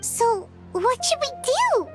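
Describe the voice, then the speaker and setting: A woman voicing a small, excitable, childlike fairy companion in a video game, squeaky and wide-eyed. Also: slow, full character voice. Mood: curious, worried. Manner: exclamatory, wide-eyed, refers to self by name. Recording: music under the voice.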